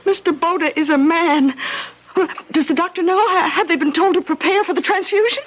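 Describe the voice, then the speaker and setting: A woman's voice: very high voice, brisk. An actress in an old-time radio drama playing an earnest, trusting young girl with a breathless, imploring, stammering delivery. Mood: tearful relief. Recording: dull old radio recording.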